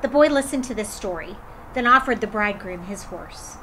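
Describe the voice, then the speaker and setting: A woman storyteller reading a children's folktale aloud in an expressive way. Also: mid-range voice, fairly quick, slight background noise, rough recording. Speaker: drama storyteller.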